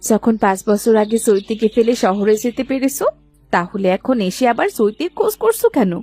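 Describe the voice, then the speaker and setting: A woman's voice: fairly high pitch, sing-song, fairly quick, warm tone, no echo. A woman playing a guarded, nervous village sister-in-law in a cartoon story, speaking in a chatty but evasive way. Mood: teasing, reproachful. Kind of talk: dramatized Bengali cartoon story dialogue. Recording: music behind the voice.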